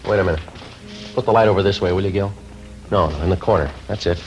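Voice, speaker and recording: Deadpan police sergeant, very low voice, clipped, businesslike, 1950s radio drama, muffled old recording. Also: male voice, fast talker, a little hiss or hum. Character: terse, unflappable police sergeant narrating his case. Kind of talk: 1950s radio crime drama, narration and dialogue. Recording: dull old radio recording, loud music.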